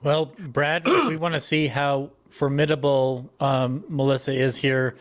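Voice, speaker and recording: A man's voice: medium pitch, measured pace. A man talking casually as a panelist on a video call. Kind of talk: casual chat.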